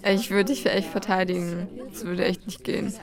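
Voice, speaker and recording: A woman's voice: fairly low pitch, fairly even intonation, brisk, a crowd in the background, lightly acted. An actress playing a pert, grumbling young woman with casual slangy talk in a naturalistic radio drama. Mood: casual, scornful. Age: young adult.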